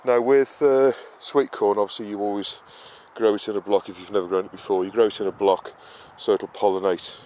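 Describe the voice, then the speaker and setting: A man with a low, flat voice talks casually through gardening jobs. His delivery is halting and unscripted, explaining as he goes. The camera microphone picks up clear outdoor ambient noise around him. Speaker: gardening vlogger. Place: outdoor vegetable garden and greenhouse. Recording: clearly noisy outdoor ambience, uneven distance.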